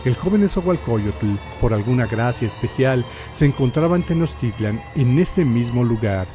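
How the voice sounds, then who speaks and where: Casting: male narrator, Mexican accent, solemn theatrical history storytelling, medium pitch, set over clear background music. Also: lively intonation, normal speed. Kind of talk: dramatic scripted historical narration.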